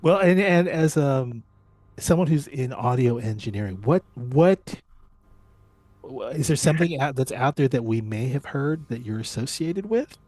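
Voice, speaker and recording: A man's voice: mid-range voice, animated, unhurried, a little mellow, no echo. Podcast host guy, curious and encouraging, dry close sound. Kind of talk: casual interview.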